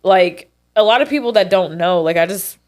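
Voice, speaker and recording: A woman's voice: lowish voice, normal speed, darkish tone. A young woman co-hosting a podcast in casual chat, blunt and free with swearing, her nose stuffed up. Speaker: podcast co-host.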